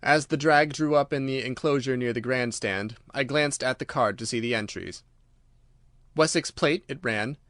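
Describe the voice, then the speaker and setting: A man's voice: mid-range voice, a bit bright, no room sound. Man narrating a classic story, close dry sound. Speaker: audiobook narrator. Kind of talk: scripted literary narration with acted dialogue.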